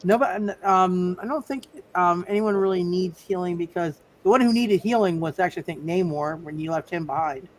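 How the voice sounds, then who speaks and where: A man running a live tabletop roleplay as game master, explaining casually in a halting way with repeated phrases. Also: high voice, a little hiss or hum.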